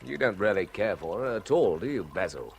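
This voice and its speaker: A man's voice: lowish voice, sing-song, fairly quick, creaky, slight background noise. An actor playing an earnest, principled man in a radio drama, speaking in a direct, plain way. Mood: challenging.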